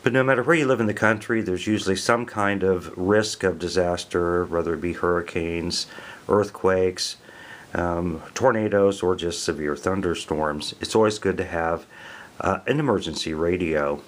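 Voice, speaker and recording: An American man giving a casual product review, explaining things in a plain, unhurried way. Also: low voice. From American Midwest.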